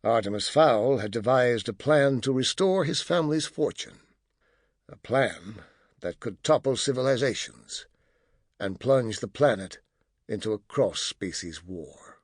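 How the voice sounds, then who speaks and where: A man reads a novel aloud as a dramatic storyteller. His delivery is measured and expressive, with pitch that rises and falls widely. The recording is dry, with no room sound. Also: breathy edge, no echo. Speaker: audiobook narrator. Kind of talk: scripted dramatic storybook narration.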